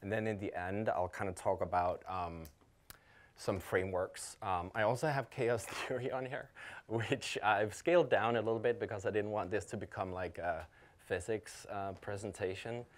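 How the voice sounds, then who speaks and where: A man's voice: lowish voice, steady tone, moderate pace. A man in his thirties from Denmark, a Danish native speaker, giving a casual conference talk with lots of ums and restarts. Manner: casual, many fillers and restarts. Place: conference hall.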